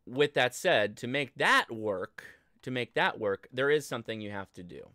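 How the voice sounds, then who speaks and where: An American man in his thirties talking through a casual livestream tutorial, conversational and halting as he thinks aloud. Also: mid-range voice, animated, bright, forceful tone, no echo. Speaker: livestream host and ham radio instructor. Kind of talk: casual live tutorial with chat replies. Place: indoor home studio desk, livestream.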